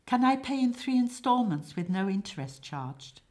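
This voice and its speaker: A woman's voice: on the high side, sing-song, moderate pace, a little mellow, pitched above the performer's own voice. A woman voicing a nervous, lovesick young man in a comic stage play, hesitant and prone to stammering. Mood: incredulous, flustered.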